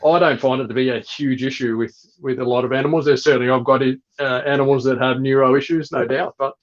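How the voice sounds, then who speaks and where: A man chatting casually and explaining things as a guest breeder, in a relaxed way with frequent fillers. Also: mid-range voice, quick pace. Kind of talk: casual chat. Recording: dull lo-fi sound.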